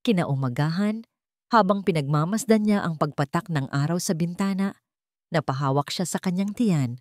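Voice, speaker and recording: A woman with a Filipino accent reads a dramatic love story as its narrator. Her voice sits a little low for a woman. The recording is dry and close, with little room sound. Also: normal speed, a little mellow, no room sound.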